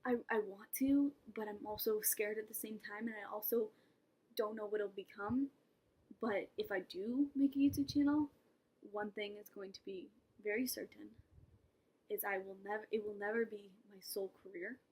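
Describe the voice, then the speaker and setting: A woman's voice: mid-range voice, steady tone, moderate pace, a little mellow, slightly rough, low-quality recording. A young woman talking casually to camera in a vlog, rambling and self-mocking, with laughs and whispered asides. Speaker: vlogger.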